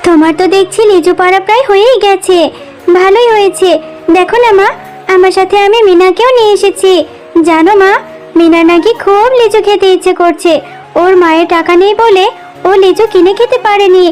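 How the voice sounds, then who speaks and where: A woman's voice: very high-pitched, brisk, a little mellow. A woman voicing a sweet, eager, earnest little girl in a children's cartoon. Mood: chatty, eager. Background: music.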